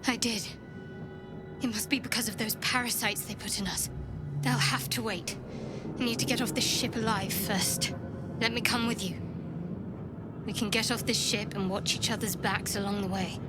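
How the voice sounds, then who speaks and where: An actress playing a wary young woman in a video game, speaking with measured, dry composure. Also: medium pitch, steady tone, close to an everyday voice. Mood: guarded. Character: guarded, wary young woman freed from captivity. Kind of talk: video game character acting. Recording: music behind the voice.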